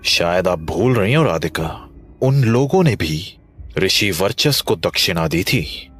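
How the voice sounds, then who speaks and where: An actor playing a calm, thoughtful man who explains things in measured horror story dialogue. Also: sits low, breathy edge, heavily acted. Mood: calm reasoning. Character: calm, thoughtful young man working out a mystery. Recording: faint music behind the voice.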